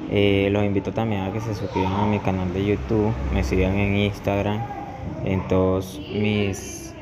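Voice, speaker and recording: A man with a low voice explains a technique step by step, as a barber would in a tutorial. His delivery stays flat and even. The recording rings with room echo and carries clear background noise. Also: measured pace. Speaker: barber teaching a haircut tutorial. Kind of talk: instructional voice-over tutorial.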